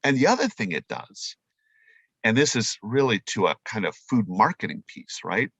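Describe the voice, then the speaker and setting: A man's voice: on the low side, moderate pace, gravelly, husky, dry recording. A man who works as a chef and presenter, talking in a casual, playful, emphatic interview style. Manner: animated, informal, playful, emphatic.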